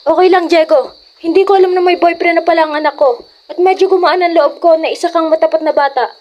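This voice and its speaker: A woman's voice: extremely high pitch, fairly even intonation, fast talker, a little mellow. A woman playing a stern, scolding mother of a teenage daughter in an amateur radio drama. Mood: calm, relenting.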